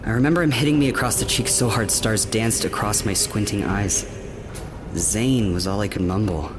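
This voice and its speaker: Man acting a low-voiced, haunted adventurer. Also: normal speed, music in the background, lightly acted. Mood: haunted, reflective. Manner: subdued, reflective storytelling. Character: haunted young male adventurer recalling enslavement. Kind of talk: fantasy radio play acting.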